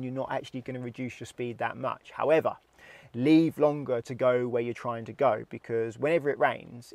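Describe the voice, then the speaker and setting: A British man in his thirties gives an instructional talk in plain, informal language. His voice sits at a medium pitch. It is picked up on a lapel mic inside a car, with a very dry, close sound. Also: darkish tone, no echo. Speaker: driving instructor. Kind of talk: instructional explanation to camera.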